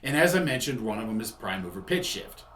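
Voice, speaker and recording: A man's voice: on the low side, sing-song, a little fast, fairly bright tone. A man presenting a tutorial demonstration in a conversational, explanatory way, often rephrasing his points. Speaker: host and product demonstrator. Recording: echoey room with faint background noise.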